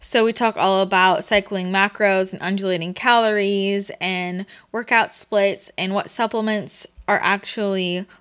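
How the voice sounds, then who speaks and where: A woman's voice: medium pitch, steady tone, measured pace, few pauses, fairly bright tone, clear, resonant tone. A woman hosting a podcast, reading an ad and introducing her guest in an enthusiastic, chatty way. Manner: enthusiastic, chatty, laughs while talking. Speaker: podcast host.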